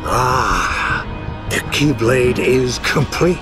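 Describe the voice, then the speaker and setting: An elderly game villain, cold and commanding. He speaks slowly and theatrically, with sweeping pitch, over prominent music. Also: expressive. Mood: triumphant. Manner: theatrical, portentous, deliberate. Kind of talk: video game cutscene acting.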